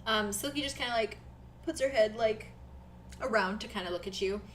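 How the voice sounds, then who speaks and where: A woman's voice: a little high, normal speed. A young woman casually improvising narration as a tabletop game master. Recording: noisy audio with background hum.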